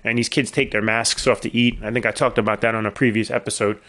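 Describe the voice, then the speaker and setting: A man's voice: on the low side, level tone, fast, a bit bright. A middle-aged man, hosting his own podcast and telling long rambling stories with wry asides, his voice a little worn after illness. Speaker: podcast host. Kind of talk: casual solo podcast monologue.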